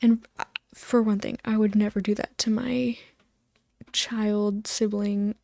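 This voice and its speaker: A woman with a soft voice, rambling over gameplay in a sleep-aid video, meandering and sometimes losing her thread. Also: medium pitch, little pitch movement, slow pace, vocal fry, no room sound, clean studio sound. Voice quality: soft voice, drifting into whispers. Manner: soft, meandering, loses her train of thought. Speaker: gaming video host.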